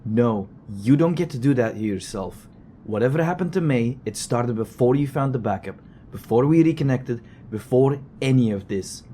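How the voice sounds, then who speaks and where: A man acting in an audio drama as a steady, reassuring friend at the wheel, with road noise behind him. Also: mid-range voice, moderate pace, natural voice. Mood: gentle, reassuring. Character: steady, reassuring male friend driving a car.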